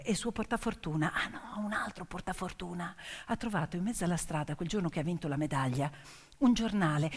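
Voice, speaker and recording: A woman performing a theatrical narrative monologue as a stage storyteller, in deliberate, dramatic short phrases. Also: fairly low pitch. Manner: dramatic, deliberate short phrases, swinging from whispers to loud cries. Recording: long hall reverb, piano music behind. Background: piano music.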